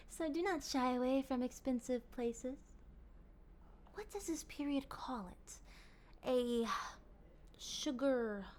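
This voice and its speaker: Woman acting a slow, breathy, possessive vampire lady. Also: fairly high pitch, a little mellow. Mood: courteous, eager, then coy, courteous. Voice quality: breathy, often dropping to a whisper. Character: possessive, courteous, hungry female vampire, soft dominant. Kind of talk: intimate ASMR roleplay acting.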